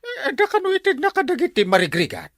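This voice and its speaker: A man's voice: very high voice, wildly swooping pitch, quick pace, a bit bright, no echo, full character voice. Guy doing a flustered grumbly village captain, comedy drama, voice jumps all over, dry studio sound. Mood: flustered, defensive. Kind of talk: Ilocano comedy audio drama.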